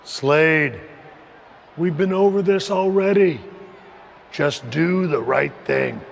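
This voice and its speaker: An actor playing a domineering male wrestling faction leader in a video game, speaking in a slow, measured, condescending way. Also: fairly high pitch, vocal fry, a little hiss or hum. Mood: menacing.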